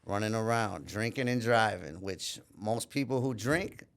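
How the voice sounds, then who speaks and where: Low-voiced man telling his story frankly. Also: expressive, vocal fry, fairly bright tone. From Minneapolis, USA. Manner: candid, reflective, repeats "you know"; pauses and restarts mid-thought. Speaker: podcast guest. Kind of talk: casual life-story interview.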